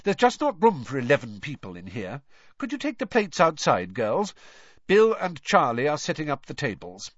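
A man's voice: a little high, wildly swooping pitch, normal speed, no echo, dull audio, voice pushed up. A man voicing an exasperated, bad-tempered witch mother, scolding and fretful, as an audiobook character. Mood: brisk, harried.

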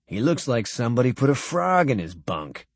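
A man's voice: medium pitch, sing-song, moderate pace, dry recording. A man voicing a blunt naval officer who whispers curt remarks. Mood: gruff.

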